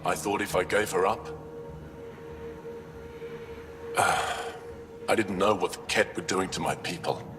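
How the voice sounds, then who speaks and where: Man as a low-voiced bitter alien prisoner. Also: steady tone, moderate pace, music in the background, lightly acted. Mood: resentful, resigned. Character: bitter imprisoned male alien traitor, resigned and defiant. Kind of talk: video game acting. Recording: long reverberant hall echo.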